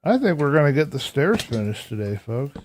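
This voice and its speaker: A hoarse man chatting casually with viewers on a live stream while playing a game, answering chat aloud in a relaxed, reflective way. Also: medium pitch, moderate pace, slight background noise.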